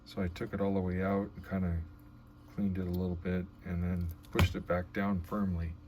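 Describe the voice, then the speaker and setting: Male DIY restorer, low register, casual and halting, thinking aloud, with clear background noise. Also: little pitch movement, darkish tone. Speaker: vlogger restoring an old radio. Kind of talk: casual hands-on demonstration commentary.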